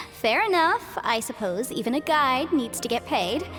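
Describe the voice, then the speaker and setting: A woman's voice: sits high, sing-song, unhurried, a bit bright, soft background music, heavily acted. A woman playing a polite, articulate young female legal advisor in an English video game dub, cheerfully businesslike. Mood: coaxing. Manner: articulate, explanatory.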